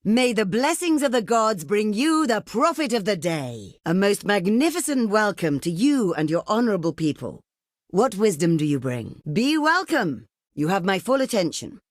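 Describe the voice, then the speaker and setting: A woman's voice: medium pitch, sing-song, moderate pace, dry recording, clean studio sound, natural voice. An actress playing a proud, imperious ancient desert queen in a video game, speaking in formal, theatrical and haughty phrases. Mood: regal, welcoming. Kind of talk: video game diplomacy voice lines.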